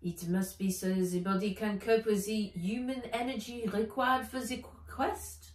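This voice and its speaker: A woman's voice: medium pitch, fairly even intonation, a little slow, echoey room, far from the mic, voice pushed up, lightly acted. A woman voicing a small, clever robot dragon companion in a children's storybook, exact and matter-of-fact. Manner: exact, informative.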